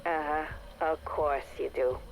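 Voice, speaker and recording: An actress playing a tough, sardonic facility worker with a blunt, sarcastic, slangy way of talking in a game audio log. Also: female voice, deep voice, fairly even intonation, unhurried, a little hiss or hum, rough recording.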